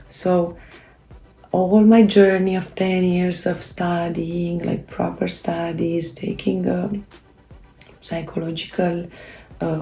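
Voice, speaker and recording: A woman whose first language is Romanian talks slowly and haltingly, often stopping to restart her sentences. Her voice is gravelly, fairly low and mellow. The recording has a noticeable room echo. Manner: halting, many fillers and restarts. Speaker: self-help creator. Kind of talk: casual motivational talk.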